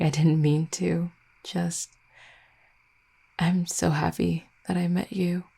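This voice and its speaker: A young vampire girlfriend, played by a woman, speaks softly and hesitantly in an intimate audio roleplay. Her low voice is full of gravelly fry, dark and mellow, with little melodic movement. She sounds tender and a little anxious. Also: exaggerated voice. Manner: hesitant, halting, soft-spoken.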